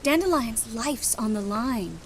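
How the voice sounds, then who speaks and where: An actress playing a confident, determined sorceress in a video game, frank, persuasive and wry. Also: female voice, fairly high pitch, expressive, unhurried. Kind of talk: video game dialogue acting. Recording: faint music behind.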